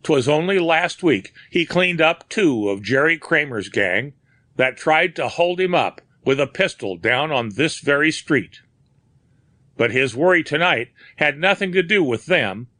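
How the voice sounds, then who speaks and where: A man voicing an old, grizzled sea captain who talks in old-fashioned sailor's phrasing, gruff and grieving. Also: a little high, pushed and bright, no room sound, voice pushed up. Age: older.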